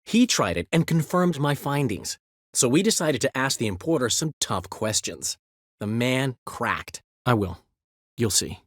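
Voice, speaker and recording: A vain, theatrical middle-aged bard for a game: lively, lilting, dry studio sound. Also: male voice, medium pitch, unhurried, husky, no room sound. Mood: wry. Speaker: game character voice. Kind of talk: game voice lines.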